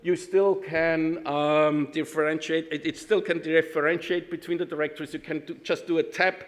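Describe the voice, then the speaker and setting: A man from Austria with an Austrian German accent, giving a technical conference talk, halting with many ums and restarts, with dry self-deprecating humor. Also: fairly high pitch, little pitch movement. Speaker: conference speaker.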